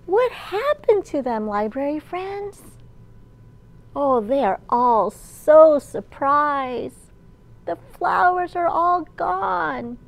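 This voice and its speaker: A woman in her thirties, a children's librarian, telling a picture-book story in an animated, clearly enunciated way. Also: high-pitched, extremely slow, rough recording. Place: large indoor library room.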